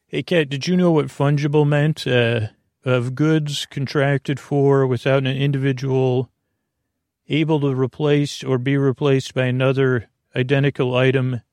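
A man talks through a wandering bedtime monologue, drifting from tangent to tangent and cutting himself off mid-thought. His voice has a gravelly, fry-tinged edge at a middling pitch. The sound is dry and close, with no room around it. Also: a little mellow, no room sound. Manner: meandering, self-interrupting, tangents; low-key, unhurried delivery. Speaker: podcast host.

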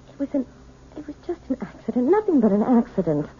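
An actress playing a gentle, frightened female piano teacher in a 1970s radio drama, hesitant and stammering. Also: a little high, sing-song, fast talker. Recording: tinny lo-fi old radio broadcast with faint hiss.

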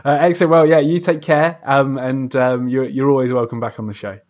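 A man's voice: medium pitch, fairly even intonation, a little fast, darkish tone. A man hosting a casual interview podcast, speaking in an effusive, readily agreeing way.

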